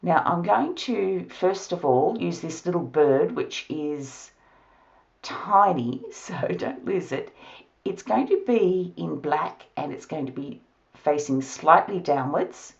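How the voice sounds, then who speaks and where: A hoarse Australian woman with a low voice talks through a card-making project, her pitch swinging up and down as she chats. She laughs now and then between instructions. The sound is a little muffled and lo-fi. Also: lively intonation, slow. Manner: chatty, laughs often. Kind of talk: crafting tutorial narration. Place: home craft studio, at a table.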